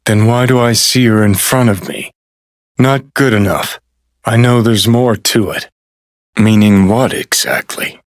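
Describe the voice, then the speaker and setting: A man's voice: lowish voice, unhurried, lightly acted. A man playing a cynical, brash rebel rocker in a video game, sardonic, blunt and foul-mouthed. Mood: serious, blunt. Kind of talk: video game character acting.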